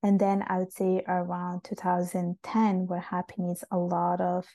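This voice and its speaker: A woman's voice: on the low side, monotone, normal speed, warm tone, no echo. A young adult woman from Italy, chatting as a podcast guest with many fillers. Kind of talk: casual interview conversation. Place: home in Montreal.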